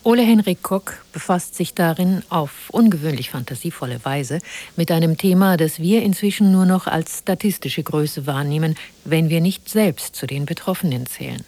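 Deep-voiced woman radio presenter. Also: normal speed, warm tone. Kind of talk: formal scripted introduction.

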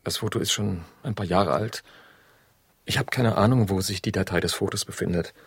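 Low, husky, officious manager. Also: male voice, fairly quick, no room sound, studio quality, heavily acted. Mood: defensive. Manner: formal, accusatory, officious. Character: stiff, indignant human-resources manager, proud and unyielding. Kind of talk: modern radio drama acting.

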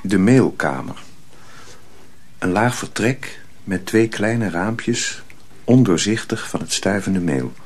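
A man's voice: on the low side, lively intonation, takes it slowly, a little hiss or hum. A man narrating a radio drama from a script as a storyteller.

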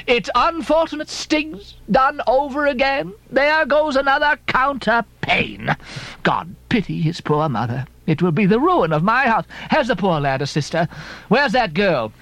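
A man's voice: very high-pitched, very animated, unhurried, fairly bright tone, no echo, voice pushed up, heavily acted. A man voicing a bossy middle-aged landlady who scolds and fusses. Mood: scolding. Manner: scolding, fussy.

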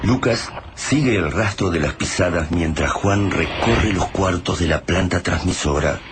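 A man giving grave, measured scripted narration for a radio drama. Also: deep voice, very noisy.

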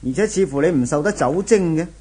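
A man's voice: fairly high pitch, lively intonation, quick pace, dark tone, husky. A man acting as a concerned, admonishing friend in a 1970s radio drama, with a Hong Kong Cantonese accent. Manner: concerned, nagging.

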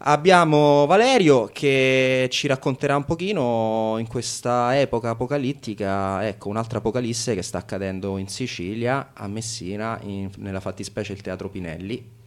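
A man's voice: medium pitch, moderate pace, few pauses. A man presenting live radio, linking items in an informal, unscripted way. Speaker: radio host.

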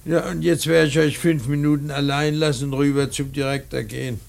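An actor playing a grave, composed middle-aged father in grief, speaking in a measured, fatherly way in a German radio play. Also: on the high side, little pitch movement, creaky, darkish tone. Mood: kindly, composed.

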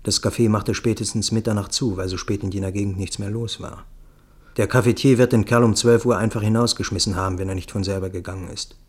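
Watchful male narrator for radio drama; lowish voice, measured, sober storytelling. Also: steady tone, slightly rough. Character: observant male friend recounting a tense night in Paris. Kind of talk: first-person radio play narration.

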